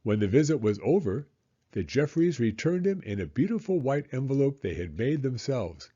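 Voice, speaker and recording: A man reading a children's story aloud as an expressive narrator who acts out the dialogue. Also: mid-range voice, moderate pace, breathy edge, muffled recording.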